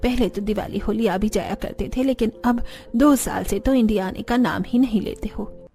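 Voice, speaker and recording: A woman's voice: medium pitch, moderate pace, dark tone, close to an everyday voice. A woman voicing a caring, traditional sister of an aging man in a cartoon. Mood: affectionate, urging. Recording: background music under the voice.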